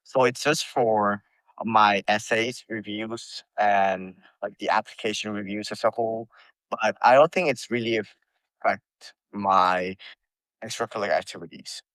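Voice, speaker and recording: Young Thai-born man, low and careful. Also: steady tone, a little slow, fairly bright tone, dry recording, poor audio. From Thailand. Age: young adult. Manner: careful, hesitant with many ums. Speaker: guest, college freshman. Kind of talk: interview answers.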